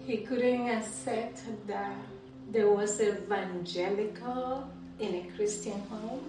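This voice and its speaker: A woman from the Dominican Republic with a Dominican Spanish accent, telling her life story as an interview guest at home. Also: fairly high pitch. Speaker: interview guest giving testimony. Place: indoors at home. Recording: very echoey room, distant mic, faint noise.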